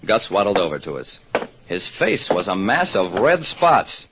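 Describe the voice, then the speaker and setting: A dull, noisy old radio recording of an American private detective. He narrates his case in a wry, colloquial way, with a steady temper and very animated intonation. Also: deep voice, measured pace, exaggerated voice. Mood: concerned.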